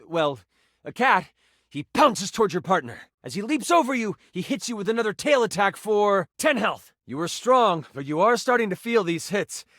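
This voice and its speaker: A man's voice: high-pitched, very animated, moderate pace, pushed and bright, dry recording, studio quality, exaggerated voice. A man playing a playful, dramatic game master who narrates a tabletop fantasy adventure in a video game. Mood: dramatic, engaged. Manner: theatrical second-person storytelling with casual asides.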